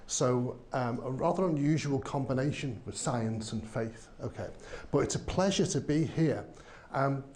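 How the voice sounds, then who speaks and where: A man's voice: medium pitch, a little mellow, echoey room, slight background noise. A man with a Liverpool accent giving an enthusiastic conference talk, with many ums. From England. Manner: enthusiastic, filler-heavy, says um often. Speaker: conference speaker. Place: conference hall.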